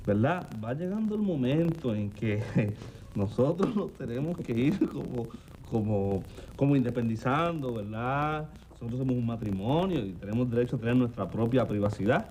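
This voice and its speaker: A man plays a son in an old sitcom scene and keeps fumbling for his words. His voice is mellow and mid-pitched. Faint noise runs under the archive clip. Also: animated, normal speed, rough recording.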